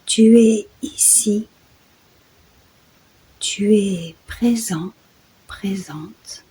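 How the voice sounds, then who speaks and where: A woman guides a sleep meditation at an extremely unhurried pace. Her voice is mellow and very breathy, slipping often into a soft whisper. The delivery is hushed, soothing and deliberate. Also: mid-range voice, low-quality recording. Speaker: guided meditation host and yoga nidra guide.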